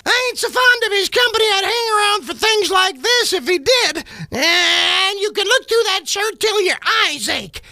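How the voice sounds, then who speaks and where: A man voicing a brazen, greedy old charwoman in a loud, creaky, cackling radio theater performance. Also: very high voice, expressive, normal speed, few pauses, dry recording, studio quality, pitched above the performer's own voice, full character voice. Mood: shameless gloating. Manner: loud, coarse, gloating.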